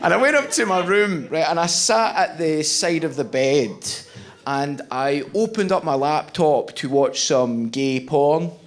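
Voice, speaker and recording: A man's voice: on the high side, unhurried. A Scottish man performing a stand-up comedy set, chatty and self-mocking, laughing at his own jokes. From Scotland. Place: large comedy venue with live audience. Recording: live show with loud crowd laughter.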